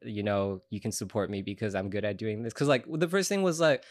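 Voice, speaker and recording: A man from Ecuador, a podcast guest, chatting casually in a relaxed, rambling way with lots of fillers. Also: on the low side, fairly quick, no echo. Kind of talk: casual chat. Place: podcast studio.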